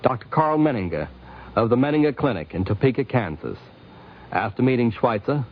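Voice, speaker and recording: A man narrating a scripted 1950s radio programme as host, with formal, rhetorical and measured phrasing. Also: mid-range voice, expressive, a little hiss or hum. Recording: dull vintage 1950s radio recording.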